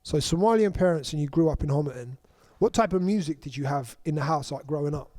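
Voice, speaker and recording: Higher-voiced interview host, dry mic. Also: male voice, quick pace, warm tone, no echo. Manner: affirming, conversational, many "yeah, yeah". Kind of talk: casual interview.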